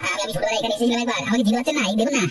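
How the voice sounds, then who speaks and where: A woman voicing a desperate, ruthless male swindler in a cartoon drama, hurried and evasive. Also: on the high side, natural voice. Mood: evasive, weary. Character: desperate, ruthless swindler man fleeing his crime. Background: music.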